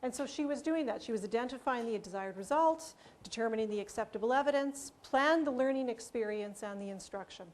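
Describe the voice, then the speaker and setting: A woman giving a conference presentation on language teaching, explaining ideas and pausing with fillers. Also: on the high side, a little mellow.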